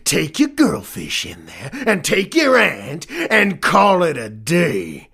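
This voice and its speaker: Cartoon Western gang leader: menacing fish bully, gravelly drawl, slow swaggering delivery. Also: male voice, fairly high pitch, wildly swooping pitch, a bit bright, heavily acted. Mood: mocking. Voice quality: gravelly, raspy. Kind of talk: cartoonish Western audio drama.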